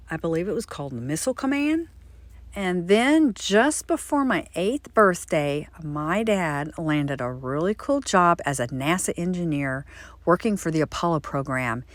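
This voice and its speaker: A woman's voice: sits low, no echo. An older woman from Florida, a doll craft vlogger, telling her life story in a chatty, friendly way. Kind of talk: casual personal storytelling.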